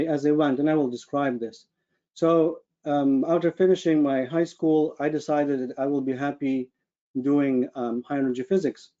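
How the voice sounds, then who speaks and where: Higher-voiced Ukrainian man, muffled call audio. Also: dark tone. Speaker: webinar speaker, medical physicist. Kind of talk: informal personal career presentation. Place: online video call with screen share.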